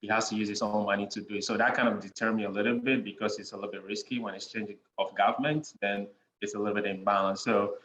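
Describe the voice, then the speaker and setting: A young man with a Ghanaian accent, a podcast guest chatting in a relaxed way, with many "you know" fillers and frequent chuckles. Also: on the low side, quick pace. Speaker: guest, real estate investor. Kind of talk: casual interview conversation. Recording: dull lo-fi sound in an echoey room with faint noise.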